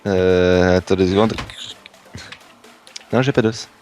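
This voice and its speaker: A man's voice: deep voice, steady tone, slow, darkish tone, faint music behind. A young man teasing his friend and stumbling over his words in a casual gaming chat. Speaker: co-host, fellow player.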